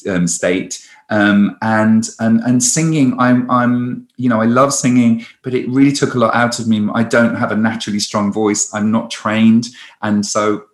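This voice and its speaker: A man, a stage performer, chatting in a conversational interview with lots of restarts and lively storytelling. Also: fairly low pitch, measured pace, reverberant.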